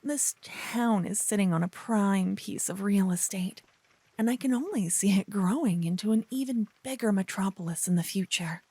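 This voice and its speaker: A woman playing an earnest, chatty young paladin girl in an ASMR fantasy roleplay, with soft, expressive, dramatic delivery. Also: medium pitch, normal speed, slightly rough, no echo. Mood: confident, chatty.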